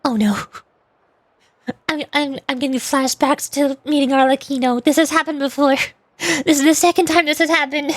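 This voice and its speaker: A woman's voice: sits high, steady tone, normal speed. A woman streamer giving casual, chatty commentary as she plays a game.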